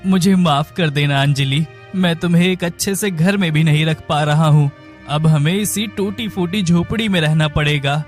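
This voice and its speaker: A man voicing an earnest, jealous young husband in an animated moral story, with dramatic delivery. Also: on the high side, normal speed, faint music behind, natural voice. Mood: apologetic, caring.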